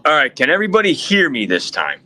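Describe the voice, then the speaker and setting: A man joining a live stream on a video call, explaining things quickly and casually. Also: a little high, wildly swooping pitch, pushed and bright. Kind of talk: casual chat.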